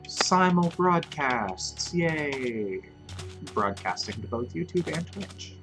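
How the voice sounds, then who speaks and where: A man's voice: on the high side, big pitch swings, slow. A man in his twenties, a streamer chatting casually and joking at his own expense while he plays. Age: 20s. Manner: rambling, self-mocking, chatty. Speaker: Twitch streamer. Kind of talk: casual gameplay commentary. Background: game music.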